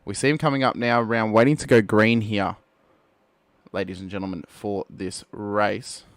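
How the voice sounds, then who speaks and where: Man calling a sim race, lively. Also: lowish voice, moderate pace, no room sound. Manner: lively, talks to the viewers, rises to shouts at big moments. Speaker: lead race commentator. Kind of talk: sim racing play-by-play commentary.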